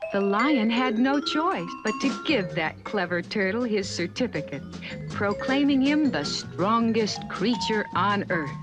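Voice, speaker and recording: A woman's voice: medium pitch, expressive, unhurried. A woman narrating a scripted children's story in a dramatic storytelling manner. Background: music.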